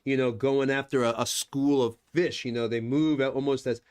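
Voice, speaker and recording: A man's voice: mid-range voice, fairly even intonation, normal speed, a little mellow, smooth. A man acting as game master, narrating combat and ruling on dice rolls in loose, chatty talk full of "you know". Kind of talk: running a tabletop game, casual narration.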